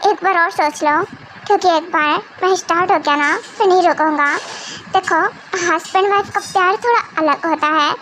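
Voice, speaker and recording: A tender, protective husband, acted out by a performer in a romantic audio drama. He sounds high and bright for a man, speaking in a hesitant, soothing, coaxing way. There is faint noise on the recording. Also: moderate pace, low-quality recording. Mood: coaxing, serious.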